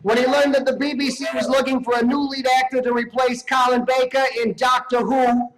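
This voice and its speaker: A man's voice: very high-pitched, little pitch movement, fairly quick. A man hosting a live awards show, reading introductions in a projected, comic announcing manner. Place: live event stage. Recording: very noisy live stage recording.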